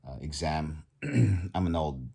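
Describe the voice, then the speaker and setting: A man around fifty with a very deep voice. He has a husky edge and talks in a halting, casual way, stopping for ums and restarting his sentences as he goes. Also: lively intonation, dark tone. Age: 50s. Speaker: vlogger. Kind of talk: casual vlog talk.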